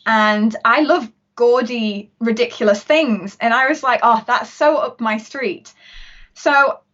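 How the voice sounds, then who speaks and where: A woman with a slightly high voice tells stories in a bright, chatty way, full of little fillers. Her voice comes through a dull, lo-fi video call. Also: slow pace. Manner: chatty, upbeat, storytelling with fillers. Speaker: musician and interview guest. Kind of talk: casual video-call interview.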